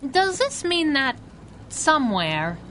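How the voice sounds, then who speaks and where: A woman's voice: high-pitched, big pitch swings, slow, fairly bright tone, slight background noise, heavily acted. A woman playing a sassy chauffeur sidekick, wry and teasing, in a pulp radio drama. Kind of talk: 1930s pulp radio acting.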